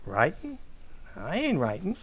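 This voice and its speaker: A woman's voice: deep voice, huge pitch swings, a little slow, husky, full character voice. A woman playing a bumbling, panicky working-class American husband, stammering and blustering in a 1940s radio comedy style. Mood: flustered, panicky.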